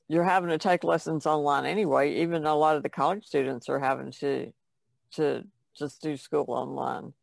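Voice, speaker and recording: Very creaky-voiced woman in casual chat. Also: deep voice, no room sound. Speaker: podcast panelist.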